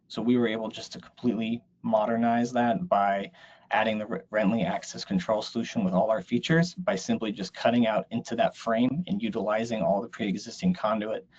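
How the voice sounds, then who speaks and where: A man's voice: on the low side, a little mellow. A man giving an explanatory slide presentation in a webinar. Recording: dull, lo-fi online audio.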